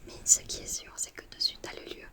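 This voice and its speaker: A woman's voice: fast talker, lightly acted. A woman playing a chatty, mischievous teenage witch student in a whispered ASMR roleplay, talking in a casual, confiding way. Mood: secretive, friendly. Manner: hushed, casual, slangy, confiding.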